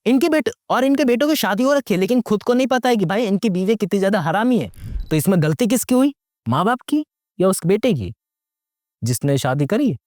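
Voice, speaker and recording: A man's voice: high voice, lively intonation, fairly quick, a little mellow, no room sound. A young man giving casual, sarcastic movie commentary, slangy and mocking, full of rhetorical questions and mixing English into his Hindi. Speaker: YouTube movie reviewer.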